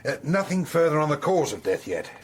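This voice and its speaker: An actor playing a genial, inquisitive gentleman in a radio drama, with a British accent and polite conversational manner. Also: a little high, very animated, a little fast, a little hiss or hum. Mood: inquisitive, wry. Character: genial, inquisitive English gentleman helping investigate a death. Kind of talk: naturalistic radio drama acting.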